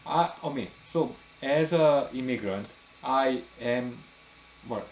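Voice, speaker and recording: A man's voice: mid-range voice, slow, roomy sound, a little hiss or hum, poor audio. A middle-aged man from Korea, speaking English, hosting a casual talk and asking curious questions. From South Korea. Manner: curious, asks many questions, backchannels often. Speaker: podcast host. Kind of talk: casual conversation.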